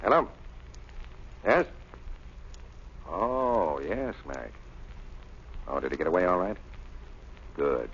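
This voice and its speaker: An actor playing a gruff, scheming, domineering prison warden in a 1930s radio drama. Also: male voice, low voice, big pitch swings, slow, long pauses, a bit bright, heavily acted. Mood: conspiratorial. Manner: brusque, blustering. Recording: muffled, hissy 1930s radio recording.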